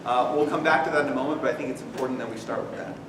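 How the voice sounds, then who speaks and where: A man from Oregon giving a talk to a roomful of school principals without a microphone, loud and engaging, asking the audience to raise their hands. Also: medium pitch, rapid-fire. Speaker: speaker addressing school principals. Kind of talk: conference talk to a live audience. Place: large echoey conference room. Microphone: no microphone, recorded from far off. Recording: echoey hall, distant and noisy.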